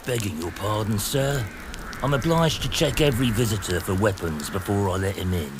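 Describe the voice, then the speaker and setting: An actor playing an officious, sly English gate guard. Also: male voice, on the low side, moderate pace, close to an everyday voice. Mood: sly, polite. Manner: deferential yet sly. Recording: steady background noise.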